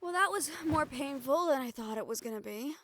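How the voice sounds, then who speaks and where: A woman plays a stubborn young woman reporter in an audio drama, with a fairly high voice recorded close and dry. She is weary and sardonic, and talks casually with a wry, sweary edge. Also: expressive, brisk, a bit bright, no echo. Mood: tired, wry. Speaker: audio roleplay voice actor. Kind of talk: intimate audio roleplay acting.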